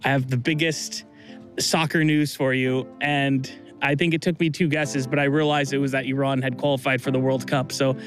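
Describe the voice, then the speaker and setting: A man in his thirties with an American accent, casually reminiscing as an interview guest in a halting way. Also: mid-range voice, little pitch movement, soft background music. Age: 30s. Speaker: interview guest, soccer fan.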